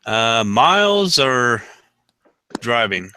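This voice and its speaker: A man's voice: medium pitch, big pitch swings, drawn-out words, bright, forceful tone, clean tone. A man from Ohio chatting casually, hesitant and full of ums and uhs. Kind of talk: casual group chat.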